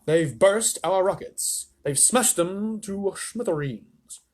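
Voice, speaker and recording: A man voicing panicking astronauts shouting desperately for help over the radio. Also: sits high, animated, slow pace, pitched above the performer's own voice, heavily acted.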